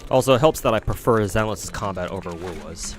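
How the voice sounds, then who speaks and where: A man's voice: on the low side, animated, fairly quick, noisy background. A man streaming a game, chatting casually in a rambling, profane way full of "like" fillers. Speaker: gaming streamer. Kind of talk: casual livestream chat while playing. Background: game music.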